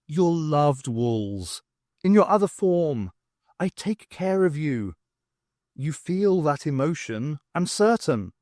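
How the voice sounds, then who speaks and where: Guy doing a clever adventurer woman, lifted lively pitch, brisk and explanatory, audiobook reading. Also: no echo, clean studio sound. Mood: reassuring. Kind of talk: audiobook character voice.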